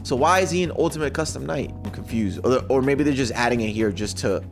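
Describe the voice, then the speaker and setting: Animated male reaction host. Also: medium pitch, fast, creaky, faint music behind. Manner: slangy, bemused. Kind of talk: casual live reaction commentary.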